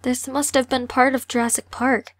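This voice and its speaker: A woman's voice: high voice, little pitch movement, normal speed, natural voice. A young woman playing an outspoken, sarcastic teenage girl in an amateur audio drama. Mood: wry.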